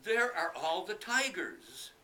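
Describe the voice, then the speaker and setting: A man voicing a small, frightened but clever boy in a storybook, high and pleading, recorded from a distance with faint noise. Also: very animated, normal speed, pushed and bright, full character voice.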